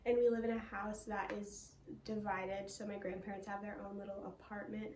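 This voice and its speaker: A nineteen-year-old nursing student giving brief, matter-of-fact interview answers. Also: female voice, medium pitch, little pitch movement, moderate pace, a little mellow. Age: teens. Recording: echoey room with faint noise.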